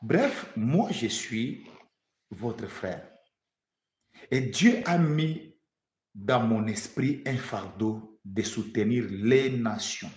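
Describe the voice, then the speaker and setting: A fervent man from the Democratic Republic of the Congo delivers a prophetic sermon, driving points home by repeating them. His pitch sits mid-range for a man, and his voice rings around a reverberant room. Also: expressive, extremely slow, darkish tone. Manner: fervent, repeats phrases for emphasis, stammers on restarts. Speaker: prophet, preacher.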